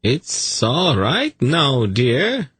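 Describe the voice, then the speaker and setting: A man's voice: fairly low pitch, fairly even intonation, drawn-out words, no room sound, pitched above the performer's own voice. A man voicing a nervous, fawning snake keeper in an audiobook, pleading, apologetic and coaxing in manner. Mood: soothing, gently scolding. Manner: wheedling, apologetic, deferential.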